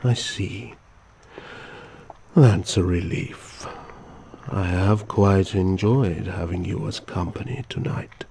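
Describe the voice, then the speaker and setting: A man playing a courteous but menacing vampire count, narrating his tale and speaking slowly, formally and calmly. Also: low-pitched, gravelly, slight background noise, full character voice. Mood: gentle. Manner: slow, formal, calm and politely threatening. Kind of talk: first-person storytelling and audio drama.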